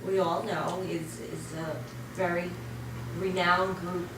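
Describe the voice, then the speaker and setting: A woman's voice: lowish voice, flat delivery, slow. Woman interviewer in an echoey room. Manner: prompting, frequent short acknowledgments. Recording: roomy and distant, background noise.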